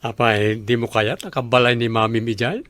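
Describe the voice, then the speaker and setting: A man's voice: mid-range voice, steady tone, brisk, background noise, lightly acted. A man with an Ilocano Filipino accent playing a friendly, inviting uncle in an audio drama.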